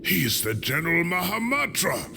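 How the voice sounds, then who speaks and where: An actor playing a weary middle-aged scholar in a video game, earnest and measured, guarded yet protective. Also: male voice, fairly high pitch, big pitch swings, creaky, background noise. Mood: protective, firm. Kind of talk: dramatic video game acting.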